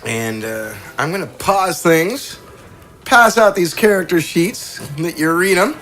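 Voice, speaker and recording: A man with lively, swinging intonation hosts a game in a casual way. He reads out character write-ups and chuckles through his announcements. Music plays behind him. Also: high-pitched, a little slow. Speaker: game host. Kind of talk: casual game introduction with character read-outs.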